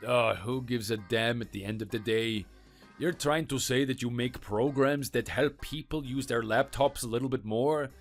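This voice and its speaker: A man voicing a scornful, boastful French mechanic who taunts and belittles in a lower, quieter voice, with faint music behind. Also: moderate pace, natural voice. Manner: taunting, contemptuous.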